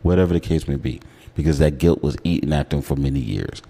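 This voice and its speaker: An American man with an extremely low, deep voice and a mellow tone. He talks casually and gives his opinions as a radio host, with care to sound out his words. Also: fast talker, gravelly, husky. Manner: enunciates words carefully. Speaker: radio talk show host.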